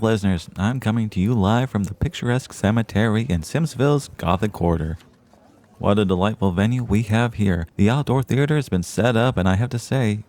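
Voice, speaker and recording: A man's voice: on the low side, a little mellow. A man playing a cheery, genteel old-time radio host, speaking in an effusive, theatrical and formal announcer manner. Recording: music behind the voice.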